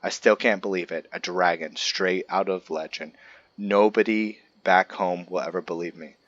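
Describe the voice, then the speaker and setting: A man doing roleplay game commentary and reading aloud as a channel host, halting and often stumbling over his words and restarting. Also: low voice, steady tone, fairly bright tone, no room sound, lo-fi sound.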